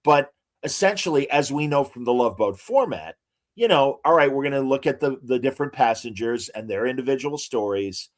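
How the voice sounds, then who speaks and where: A man co-hosting a casual podcast, reading out actor credits with frequent hesitant pauses and showman asides to the audience. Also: mid-range voice, animated. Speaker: podcast co-host.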